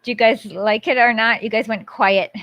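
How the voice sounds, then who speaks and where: A woman streamer talking casually to her viewers in a rambling, quick way, often interrupting herself. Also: fairly high pitch, fairly even intonation, a bit bright, dry recording. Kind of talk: casual livestream chat.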